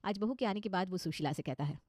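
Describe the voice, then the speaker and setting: A woman's voice: on the low side, fast, a little mellow, dry recording, studio quality. A woman narrating a scripted cartoon story as its storyteller.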